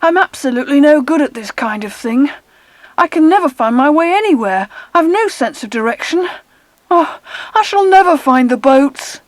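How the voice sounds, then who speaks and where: A woman acts a dismal, easily discouraged boy in a storybook drama. The voice is high and plaintive, swinging about in pitch, always putting himself down. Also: animated, moderate pace, no room sound. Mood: uneasy, helpless. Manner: plaintive, self-deprecating. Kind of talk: dramatised audiobook acting.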